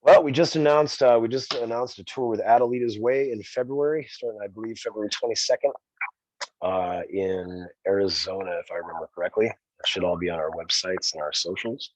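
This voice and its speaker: A man, a rock band singer, talking in a relaxed, rambling way in an interview, tossing in "man" and "dude". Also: lowish voice, fairly quick, no echo. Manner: easygoing, rambling, calls the host "man" and "dude". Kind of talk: casual interview talk. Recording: dull, dry sound.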